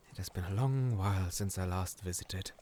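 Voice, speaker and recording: A man's voice: low-pitched, a little mellow. A man playing a polite, earnest young scholar in a fantasy audio drama, speaking formally and a little hesitantly. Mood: tired, apologetic.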